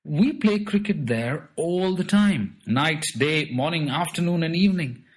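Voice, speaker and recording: A man's voice: on the high side, lively intonation, unhurried, voice pushed up. A man voicing a boastful little alien trickster who is playful, bossy and fond of made-up words, in a storybook. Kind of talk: storybook character voice.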